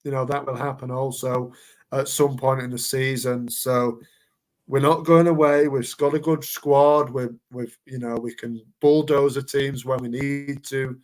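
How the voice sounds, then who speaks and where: A man co-hosts a casual football chat show. He rambles as he goes and stumbles over repeated words and fillers. His voice sits at an ordinary middle pitch. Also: little pitch movement, a little slow. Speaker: podcast co-host.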